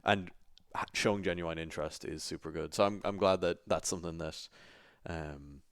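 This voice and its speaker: A man hosting a casual interview, asking questions in a relaxed, friendly way. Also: deep voice, normal speed, clean tone, no echo, studio quality. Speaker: interviewer, host.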